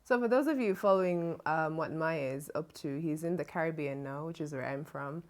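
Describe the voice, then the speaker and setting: Jamaican woman, co-host, relaxed chatty delivery, lower voice. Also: brisk. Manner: chatty, laughs easily. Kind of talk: casual chat.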